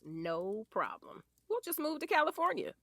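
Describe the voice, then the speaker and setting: A woman's voice: high-pitched, big pitch swings, normal speed, fairly bright tone, no echo, full character voice. A woman playing an affectionate, sassy wife and mother in an audio drama, with playful delivery. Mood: playful, fond.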